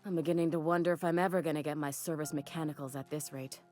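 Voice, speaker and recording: An actress playing a brisk, no-nonsense space station trader in a video game, wry, businesslike and faintly exasperated. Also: female voice, sits low, fairly even intonation, darkish tone, faint music behind, natural voice. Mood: wry exasperation. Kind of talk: video game dialogue acting.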